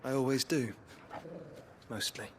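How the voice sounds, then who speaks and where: A male actor as an earnest, scholarly younger brother in a fantasy game. His mid-pitched voice is measured and articulate. Faint ambience sits behind it. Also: steady tone, a little hiss or hum, natural voice. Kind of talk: cinematic video game acting.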